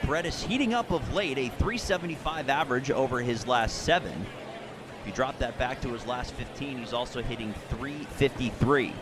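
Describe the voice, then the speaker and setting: A male radio play-by-play announcer with a medium-pitched voice, calling baseball in a measured, descriptive way. Clear ballpark ambience runs behind him throughout. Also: noisy background. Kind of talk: live radio sports commentary with sponsor reads.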